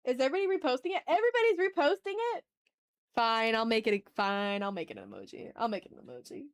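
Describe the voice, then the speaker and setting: A woman's voice: a little high, very animated, fast, clear, resonant tone. A woman hosting a casual drawing livestream, playfully chatting with viewers and thinking aloud as she works. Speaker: art livestream host.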